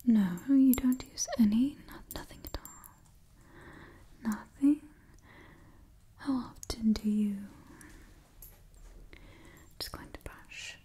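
A woman in her twenties whispering close to the microphone in a slow, hushed ASMR style, softly repeating words. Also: fairly high pitch. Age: 20s. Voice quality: whispery, breathy. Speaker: ASMR host. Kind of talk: ASMR role-play and sponsor read.

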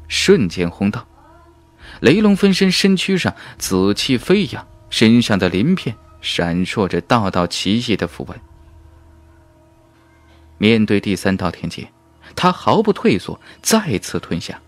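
A man narrating a fantasy novel in a dramatic, emphatic storytelling manner. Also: on the low side. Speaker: audiobook narrator. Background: background music.

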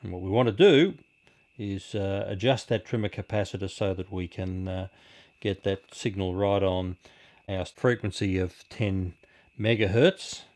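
Low-voiced middle-aged man, explaining casually. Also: unhurried, a little mellow. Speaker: amateur radio hobbyist presenter. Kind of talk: casual explanatory video talk. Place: small indoor radio room.

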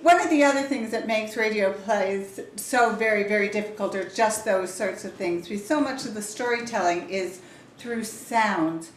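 An older British woman hosts an event from across an echoey room. Her voice is medium in pitch, picked up at a distance with noise behind it. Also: moderate pace. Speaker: event host. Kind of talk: live introduction. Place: indoors in a formal parlour. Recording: echoey room, distant mic, background hiss.

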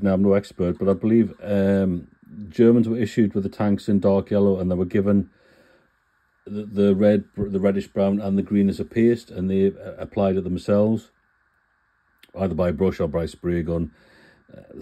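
A man's voice: low-pitched, warm tone. A man with a cold, chatting plainly through a scale model build and often correcting himself. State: full of cold. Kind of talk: casual build tutorial.

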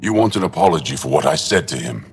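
A resigned alien townsman from a video game. His voice is extremely deep, measured and solemn, with a defensive edge. It rings in a long hall reverb over faint ambience. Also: lively intonation, slight background noise. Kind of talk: video game dialogue acting.

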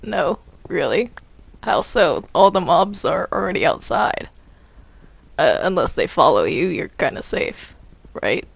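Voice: mid-range voice, animated, a little slow, vocal fry, husky. A video game player chatting casually during play, hesitant and stammering over repeated words. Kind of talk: casual gaming chat while playing Minecraft roleplay.

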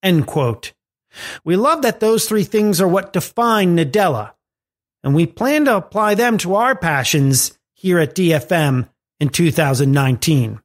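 Animated man reading a podcast script. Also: sits high, unhurried. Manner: reads from a script with lively rising and falling emphasis. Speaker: podcast host. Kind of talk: scripted tech podcast narration.

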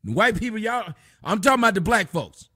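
A middle-aged man hosting a livestream from his home, giving loud, mocking commentary full of cursing on a video clip. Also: sits high, animated, a little fast, husky. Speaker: livestream host. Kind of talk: profane comedic commentary on a clip. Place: indoor home room.

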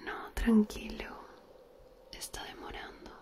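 A woman's voice: warm tone, natural voice. A woman whispering as a flirty cousin. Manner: whispering close and slowly. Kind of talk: ASMR whispered roleplay. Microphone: close binaural mic.

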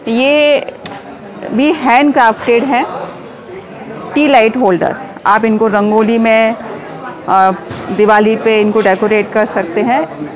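A middle-aged woman explaining her handmade products at an exhibition stall, unhurried, mixing Hindi with English. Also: a little high, steady tone. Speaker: startup owner showing products. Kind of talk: casual product walkthrough at a stall. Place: indoor exhibition hall stall. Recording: busy expo crowd behind.

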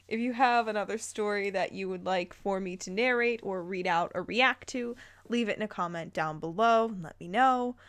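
A woman's voice: mid-range voice, a bit bright. A woman hosting a dramatic read-aloud of fan fiction, breaking in with playful, incredulous commentary. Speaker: host reading and reacting to fan fiction.

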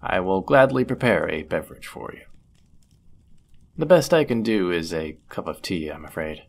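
A man acting a deep-voiced, courteous medieval innkeeper. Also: expressive, gravelly, heavily acted. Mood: courteous, warm. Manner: gentle, polite, measured, formal wording. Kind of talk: intimate roleplay audio acting, formal old-fashioned speech.

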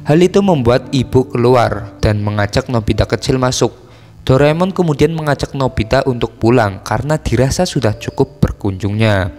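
An Indonesian man narrates a story from a script in a casual, colloquial way, slipping into teasing asides. His voice sits at an average pitch. It rings with long reverb, with music playing underneath. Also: a little mellow. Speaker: film recap narrator.

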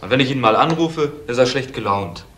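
A man's voice: medium pitch, expressive, fairly quick, a bit bright, a little hiss or hum, lightly acted. An actor playing an earnest, insistent young man obsessed with his missing uncle in a German crime radio play. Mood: brooding.

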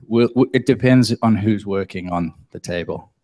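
A man's voice: fairly low pitch, moderate pace, a little mellow. A man presenting his discussion table at a workshop, listing topics with some hesitation, in a large conference hall.

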